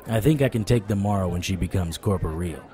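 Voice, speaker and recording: An actor playing a stubborn, wisecracking supernatural private investigator in an audio drama, wry, flirtatious and persuasive. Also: male voice, sits low, fast, dark tone, a faint crowd, close to an everyday voice. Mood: determined. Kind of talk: audio drama acting with noir internal monologue.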